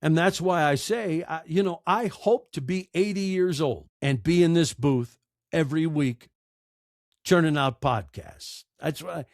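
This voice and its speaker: A man's voice: on the high side, unhurried, no echo, studio quality. A middle-aged American man giving candid, conversational interview answers in an earnest, emphatic, emotional way. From United States. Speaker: interview guest, audiobook narrator and podcaster.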